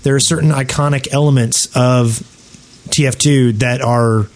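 A man's voice: mid-range voice, fairly even intonation, moderate pace. A man chatting casually as a panelist on a gaming podcast.

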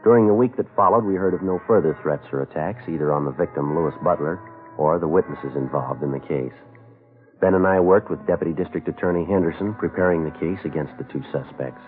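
An actor playing a stoic, businesslike police sergeant in a 1950s radio drama, speaking in a terse, clipped, matter-of-fact way. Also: male voice, extremely low pitch, fairly quick. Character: stoic, businesslike male police sergeant narrating his case. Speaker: narrator and lead detective. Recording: muffled old radio broadcast, music.

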